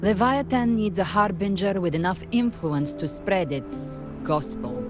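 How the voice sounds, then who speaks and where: A woman playing a grave, knowing strategist in a video game, speaking in a deliberate, formal, analytical way. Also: mid-range voice, expressive, over music, close to an everyday voice.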